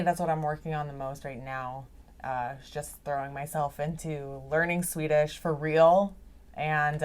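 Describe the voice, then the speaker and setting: A young woman talking casually as a podcast guest, chatty, laughing often and filling her talk with "like.". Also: low-pitched. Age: young adult. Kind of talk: casual interview chat. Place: video call. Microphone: video call.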